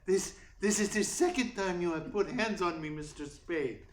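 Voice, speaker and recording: A man's voice: high-pitched, normal speed, natural voice. A man playing a polite, fussy yet menacing crook in a radio play, formal and precise, easily indignant. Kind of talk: radio play acting in 1940s noir manner. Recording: roomy, echoey sound with faint noise.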